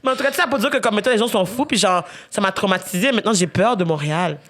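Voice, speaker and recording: A young woman with a Quebec French accent, co-hosting a podcast as a lively storyteller who laughs often. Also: medium pitch, fast talker, very noisy. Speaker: podcast co-host. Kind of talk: casual chat.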